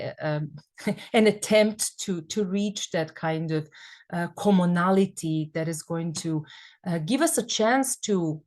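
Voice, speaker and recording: Female panel guest, native Serbian speaker, slightly low voice, hesitant and reflective. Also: darkish tone. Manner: thoughtful, hesitant, many fillers. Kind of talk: academic panel discussion.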